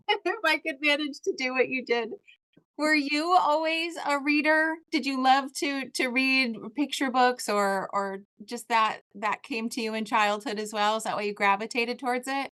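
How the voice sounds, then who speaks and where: A woman's voice: on the high side, moderate pace, sharp tone. A woman hosting a children's book podcast, speaking effusively and enthusiastically to her guest. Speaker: podcast host. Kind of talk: casual interview.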